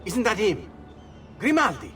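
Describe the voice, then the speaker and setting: Game ally: wry Italian thief boss, lively swooping delivery, Italian accent, music clearly behind. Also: male voice, high-pitched, a little slow, exaggerated voice. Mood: scheming. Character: loyal, wry Venetian thief leader. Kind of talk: video game cutscene acting.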